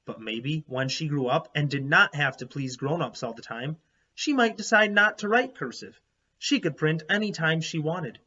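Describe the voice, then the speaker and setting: Higher-voiced man reading aloud to children. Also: normal speed, dull audio. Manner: animated, emphatic storytelling with questions to listeners. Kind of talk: expressive children's read-aloud narration with asides to young listeners.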